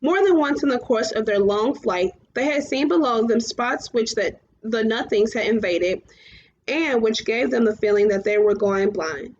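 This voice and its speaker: A woman reading a storybook aloud as narrator, a little haltingly, stumbling over words now and then. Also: on the high side, lively intonation. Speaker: story reader. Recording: muffled, clearly noisy home recording.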